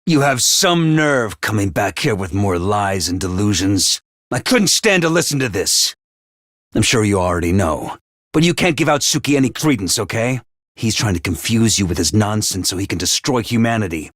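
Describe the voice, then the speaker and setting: An actor playing a theatrical, scheming schoolmaster who barks cheerful orders at troops and then drops to low, calm menace. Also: male voice, normal speed, no echo, studio quality. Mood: calm sinister. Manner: booming, swings between shouted cheer and low menace. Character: manic theatrical male drill-sergeant schoolmaster, scheming. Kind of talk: video game voice lines.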